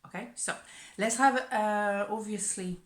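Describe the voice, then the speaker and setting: A woman's voice: medium pitch, a little slow. A woman giving a casual, playful tarot reading, chatty and laughing often, sometimes dropping to a whisper. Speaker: tarot reader. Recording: echoey room.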